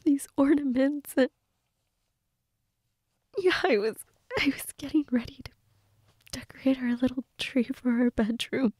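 A woman acting a grieving girlfriend in an intimate audio role-play, crying and fragile, halting and repeating herself, often dropping to a whisper. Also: high-pitched, darkish tone, breathy edge, dry recording. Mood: grieving, sobbing. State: crying, sniffly, breathy from tears. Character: grieving girlfriend, tearful and fragile, insisting she's fine.

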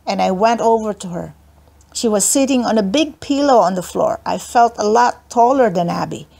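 A woman narrating a children's book aloud in an expressive storytelling style. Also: medium pitch, moderate pace, vocal fry. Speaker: audiobook narrator.

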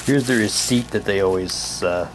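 A man giving a halting, unhurried, casual commentary as he shows and reads out items for an unboxing video. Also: lowish voice. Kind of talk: casual unboxing commentary, reading packaging aloud. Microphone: handheld camera's built-in mic. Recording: noisy home camcorder, steady hum.

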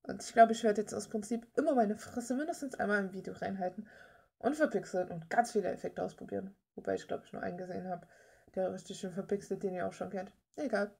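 A woman's voice: medium pitch, quick pace, vocal fry, darkish tone, husky. A young adult woman rambling casually in an informal, playful, slangy way, like someone showing her hobby on a vlog. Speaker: hobby artist and video maker.

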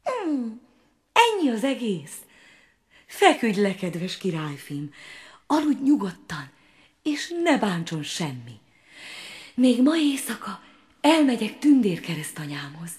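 Woman voicing a sweet, hushed little frog princess. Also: mid-range voice, very animated, long pauses, echoey room, pitched above the performer's own voice, close to an everyday voice. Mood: gentle coaxing. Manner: soft, hushed, at times whispering. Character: sweet little female frog, gentle and coaxing.

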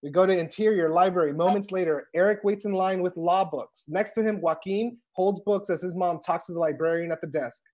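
A woman's voice: fairly low pitch, a little fast, hardly any pauses, warm tone. A woman reading scripted stage directions as narration for a table read. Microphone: video call.

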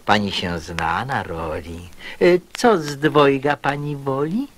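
An actor playing a sly, courteous fox farmer who wheedles and drawls in a children's radio play. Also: male voice, mid-range voice, lively intonation, slow, a little hiss or hum. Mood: wheedling. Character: sly male fox farmer, smooth-talking and courteous schemer.

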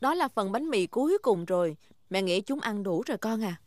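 A woman's voice: mid-range voice, animated, normal speed, darkish tone, no room sound, lightly acted. A woman voicing a patient, sensible mother pig in a Vietnamese cartoon dub. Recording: music behind the voice.

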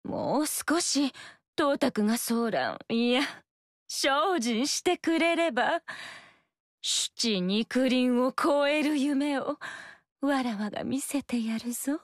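A woman's voice: high-pitched, lively intonation, takes it slowly, dry recording. An actress voicing a scheming, seductive female fox spirit in a video game, coy and languid. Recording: dry studio recording.

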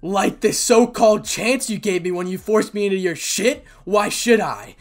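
Casting: wounded teenage boy played by a man, raised pitch, venomous, wary, accusing, for a story reading. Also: very animated, very slow, a bit bright, slightly rough, soft background music, exaggerated voice. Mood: bitter anger. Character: traumatized angry teenage boy, bitter and wary. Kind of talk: amateur story reading, acted dialogue.

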